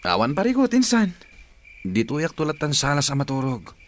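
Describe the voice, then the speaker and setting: A man's voice: a little high, wildly swooping pitch, fairly quick. An actor playing an evasive, wheedling male cousin in an Ilocano comedy radio drama, with an Ilocano accent. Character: evasive male cousin begging a place to sleep. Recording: background noise and ambience.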